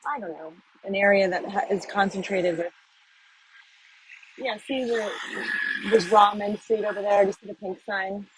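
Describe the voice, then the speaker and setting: A woman's voice: mid-range voice, normal speed, pauses often, poor audio. Woman vlogging mid-walk, rambly and chatty, earbud mic, faint street noise. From United States. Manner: chatty, rambling, thinking aloud. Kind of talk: casual walking vlog narration. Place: outdoors on city streets.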